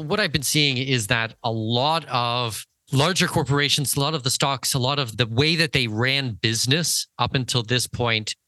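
A man's voice: medium pitch, sharp tone, no echo. A man hosting a podcast interview, speaking in a conversational style.

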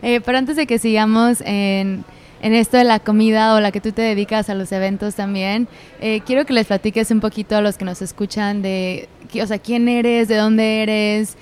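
A woman hosting a casual podcast, upbeat and quick to laugh, with slang. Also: medium pitch, fairly even intonation, darkish tone. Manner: upbeat, laughs often, uses Mexican slang. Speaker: podcast host.

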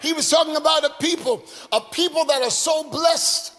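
A man preaching a sermon in a rhythmic call-and-response style, building to shouts with a congregation. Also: very high-pitched, normal speed. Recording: long hall reverb, faint music.

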